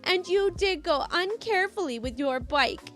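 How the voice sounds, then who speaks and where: A woman voicing an indignant, haughty mother from a read-aloud story, drawn-out and self-righteous, in a mixed put-on Midwest and Brooklyn American accent. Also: very high voice, lively intonation, fairly bright tone, faint music behind, voice pushed up.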